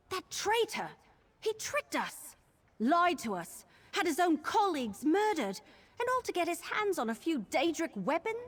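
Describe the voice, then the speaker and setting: A woman playing an earnest, excitable young archer heroine in a video game, speaking emphatically and urgently. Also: high voice. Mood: outraged.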